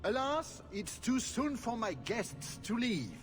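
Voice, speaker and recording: Game villain: male duke, suave, mock-polite, high-pitched for a man, music clearly behind. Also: lively intonation, slow pace, exaggerated voice. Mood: mock regret. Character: suave, pompous villainous duke, mockingly courteous. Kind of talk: theatrical video game voice acting.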